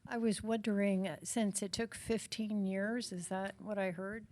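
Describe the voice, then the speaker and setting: A woman's voice: medium pitch, steady tone, unhurried, a little mellow, a little hiss or hum. A woman in the audience asking a tentative, polite question, speaking off-mic.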